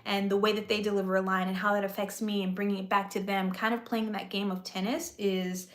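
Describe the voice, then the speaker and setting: A woman's voice: mid-range voice, level tone, brisk. A woman chatting with viewers on a live stream, talkative and easygoing, with a soothing voice and lots of "like" and "you know". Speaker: vlogger and aspiring actress. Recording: echoey room.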